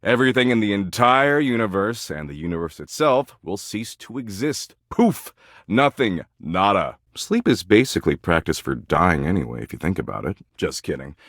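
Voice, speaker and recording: Existential dread itself talks like a too-cool slacker in this video game. The voice sits low yet swings widely in pitch, dripping with smug, sardonic dismissal. It is recorded close and dry, every slangy put-down right up front. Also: male voice, sing-song, normal speed, fairly bright tone, dry recording, full character voice. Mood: gleefully morbid. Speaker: voice actor in a dating simulator game. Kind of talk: video game character dialogue.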